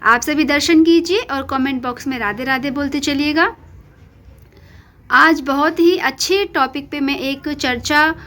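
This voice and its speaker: A woman with a high voice, a little hoarse from a cold, hosts her devotional channel and talks earnestly to her viewers. She asks pointed questions and speaks straight to the people watching. She records on a phone at her home shrine. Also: unhurried, fairly bright tone. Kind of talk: casual devotional talk to viewers.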